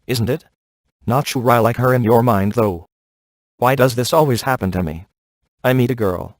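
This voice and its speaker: Actor as a wisecracking deadpan ghost hunter, dry recording. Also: male voice, mid-range voice, no room sound, natural voice. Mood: wry. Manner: deadpan, sarcastic. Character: wisecracking, smug male ghost hunter in a comic adventure. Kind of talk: video game voice acting.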